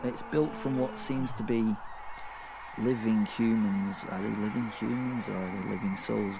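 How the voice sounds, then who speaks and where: A man playing a gleefully morbid werewolf killer in a horror audio drama, telling of his fall through hell in a halting, sweary, conversational way. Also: fairly low pitch, noisy background. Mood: morbid fascination. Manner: rambling, conversational, sweary, halting and self-correcting. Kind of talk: first-person horror audio drama monologue.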